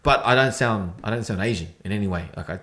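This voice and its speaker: A man's voice: lowish voice, fast. A man with a Western Sydney Australian accent co-hosting a podcast, telling a rambling anecdote full of fillers and swearing. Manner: rough-edged, animated storytelling. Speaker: podcast co-host. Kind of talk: casual chat among friends.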